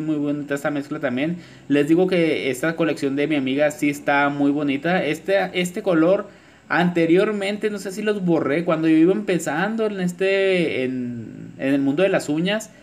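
Chatty man teaching nails. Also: on the high side. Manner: chatty, informal, frequent fillers, addresses viewers as girls. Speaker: nail artist teaching acrylic nail design. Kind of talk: voice-over tutorial narration.

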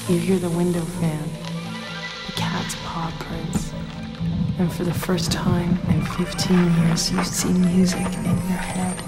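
A woman playing a solemn, knowing figure in an animated film drama, speaking in a measured, poetic way. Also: fairly low pitch. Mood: solemn, intimate. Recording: loud music behind the voice. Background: music.

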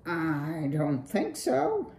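A woman's voice: low voice, huge pitch swings, drawn-out words, dark tone, roomy sound, exaggerated voice. A woman voicing a polite, naive young male country mouse who speaks formally and earnestly. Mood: earnest, puzzled.

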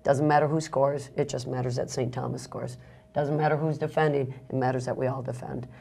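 A middle-aged woman coach answering interview questions in a studio, measured, often repeating words. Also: very deep voice, steady tone, gravelly, mellow, breathy edge. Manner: measured, repeats words when making a point. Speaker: basketball coach. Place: small TV studio, indoors. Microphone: lapel mic.

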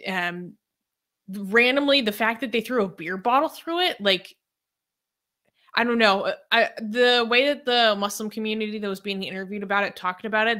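Bright-voiced woman, lots of ums. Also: medium pitch. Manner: hesitant, many ums and likes. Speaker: co-host. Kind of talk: casual news commentary.

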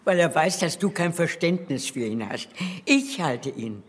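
A woman's voice: sits low, animated, measured pace. An older woman playing a haughty, blunt old countess in a German crime radio drama, with an aristocratic, brusque manner. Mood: pleading.

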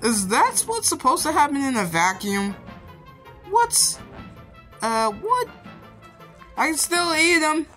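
Excitable grumbling cartoon cat, played by a woman, highish sharp voice, dramatic, easily exasperated. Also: slow, pauses often, faint music behind, full character voice. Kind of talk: cartoon fandub voice acting.

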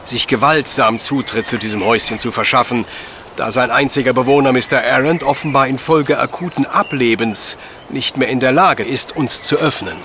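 An actor playing a brilliant, pedantic professor detective in a German audio drama, speaking in precise, formal, commanding sentences. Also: male voice, mid-range voice, normal speed, noisy background, natural voice. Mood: formal, dry.

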